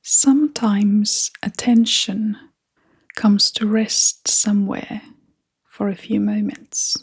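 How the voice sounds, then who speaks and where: Slow, breathy woman guiding meditation. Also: medium pitch, fairly even intonation. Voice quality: soft, breathy, half-whispered. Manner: gentle, unhurried, with long pauses. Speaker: meditation guide. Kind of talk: gentle guided meditation.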